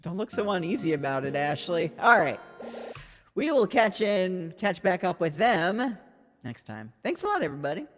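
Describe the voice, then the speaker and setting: A woman with a slightly raspy voice, streaming a story game, reading its text aloud and chatting casually with some swearing. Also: on the low side, sing-song, moderate pace. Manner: chatty, swearing, laughing, trailing off. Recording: music playing in the background.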